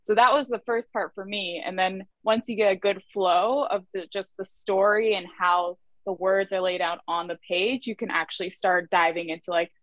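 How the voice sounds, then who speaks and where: A woman's voice: medium pitch, fairly even intonation, moderate pace, few pauses, no room sound, poor audio. A young woman from Canada, an illustrator, chatting casually about her work on a video call, laughing often and saying "like" a lot. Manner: giggly, chatty, uses "like" a lot. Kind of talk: casual conversation. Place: small room at home. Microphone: laptop webcam video call.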